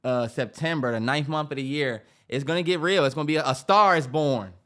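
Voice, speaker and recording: Young man, podcast co-host, casual chat, slangy, swears freely, slightly high voice. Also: fairly quick, a bit bright.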